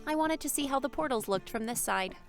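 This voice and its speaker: A proper young noblewoman played by a woman with a high voice that moves through wide swings of pitch. She is courteous and articulate in a fantasy drama, with music behind her. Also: expressive, a little fast. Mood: polite, explaining. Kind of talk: fandub fantasy drama acting.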